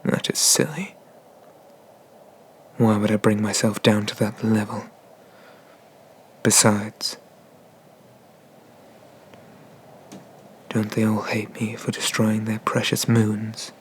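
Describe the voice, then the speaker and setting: A man voices an ancient dragon god for an ASMR roleplay. He is haughty and condescending yet merciful, very slow and measured, with little rise or fall in pitch, slipping into breathy whispers. Noticeable ambient noise sits behind the voice. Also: flat delivery. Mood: haughty, brooding. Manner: slow, deliberate, formal speech with murmured, breathy whispers.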